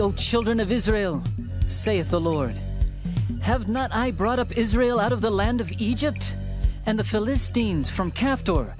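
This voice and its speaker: High-pitched solemn male scripture narrator. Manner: solemn, declamatory, measured phrasing. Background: music.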